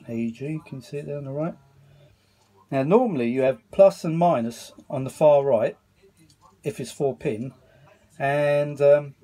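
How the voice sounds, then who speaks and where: British man, husky casual repair talk. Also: medium pitch, mellow. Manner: colloquial, muttering asides and mild grumbling. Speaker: hobbyist filming his repair of vintage audio gear. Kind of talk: casual rambling repair commentary to camera. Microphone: handheld phone filming the work.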